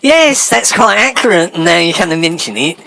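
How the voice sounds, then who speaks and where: Camp, swooping, touchy English gent. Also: male voice, high-pitched, lively intonation, moderate pace, gravelly, bright, forceful tone, some chatter behind, exaggerated voice. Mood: cheeky. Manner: affected, arch, gossipy, indignant. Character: camp, touchy, chatty gentleman with affected airs. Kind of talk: old-fashioned British radio sitcom acting.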